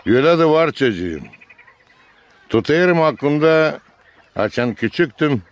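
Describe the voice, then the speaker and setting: An actor playing a patient grandfather in a cartoon, telling stories in a gentle, unhurried way. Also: medium pitch. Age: older. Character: kindly grandfather figure, patient elder. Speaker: grandfather character. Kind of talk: cartoon voice acting.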